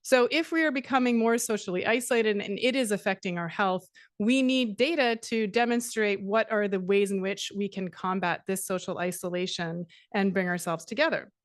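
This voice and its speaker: A middle-aged woman from Canada giving a conference talk, measured and steady, with frequent fillers. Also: mid-range voice, no echo, clean studio sound. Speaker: conference speaker. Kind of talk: academic presentation.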